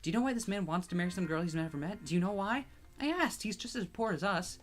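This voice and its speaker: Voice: medium pitch, animated, fast, close to an everyday voice. A storyteller voicing a distraught poor young woman who speaks in a wavering, sobbing voice. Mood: wailing. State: crying. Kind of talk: storytelling voice acting.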